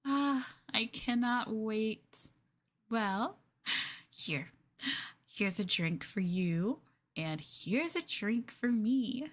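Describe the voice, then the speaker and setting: A woman playing an obsessive, lovesick young girlfriend in an ASMR roleplay, cooing slowly with sweet possessiveness. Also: on the high side, animated, heavily acted. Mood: sweetly possessive. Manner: slow, cooing, lingering delivery.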